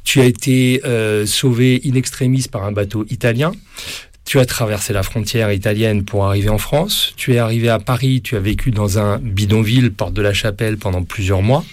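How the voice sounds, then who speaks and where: A man's voice: on the low side, steady tone, a little fast, dry recording. A man hosting a radio interview, talking in long rambling sentences full of restarts and fillers. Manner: rambling, many restarts and fillers, warmly admiring. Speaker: radio host.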